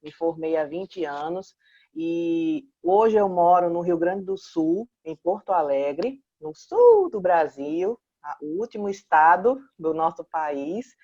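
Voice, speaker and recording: A woman in her forties with a Pernambuco accent, a clinical psychologist answering interview questions in a measured, reflective way. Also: fairly low pitch, very animated, warm tone, lo-fi sound. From Pernambuco, Brazil.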